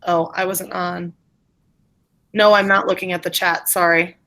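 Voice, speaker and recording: A woman teaching a middle school class over a video call, informal and encouraging, with quick asides. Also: lowish voice, level tone, a bit bright. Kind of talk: online class instruction.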